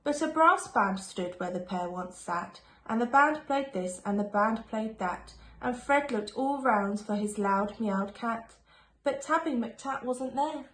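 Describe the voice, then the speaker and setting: Woman, children's story reading, rhyming sing-song cadence, slightly high voice, echoey room, faint noise. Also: animated, a little slow, a bit bright. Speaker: primary school teacher reading aloud. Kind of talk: expressive children's picture-book reading.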